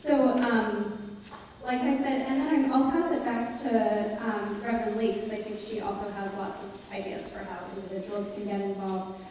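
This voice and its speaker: A woman's voice: mid-range voice, fairly even intonation, moderate pace, darkish tone, a little hiss or hum. A young woman moderating a congregation Q&A in a large synagogue sanctuary, explaining things earnestly with many ums on a handheld mic. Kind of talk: unscripted, explaining to an audience. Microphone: handheld mic, filmed by a far camera. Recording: echoey hall from far off.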